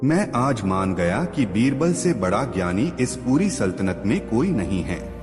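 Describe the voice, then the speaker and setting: A man's voice: on the low side, big pitch swings, unhurried. A man voicing a proud elderly male court scholar who speaks with formal reverence to an emperor. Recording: long hall reverb with music bed.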